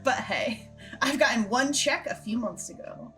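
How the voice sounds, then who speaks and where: A woman hosting a casual behind-the-scenes Q&A, chatting and retelling in an animated, giggly way. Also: fairly high pitch, a little slow. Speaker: host and creator of an audio drama show. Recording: background music under the voice. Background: soft instrumental game soundtrack music.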